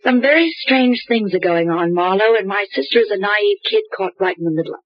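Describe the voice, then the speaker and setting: A nervous young woman pleads for help in a breathy voice, as a woman acts her out. The old radio recording is dry and dull. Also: mid-range voice, fairly quick, no room sound, close to an everyday voice. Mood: anxious. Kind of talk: 1950s radio drama acting.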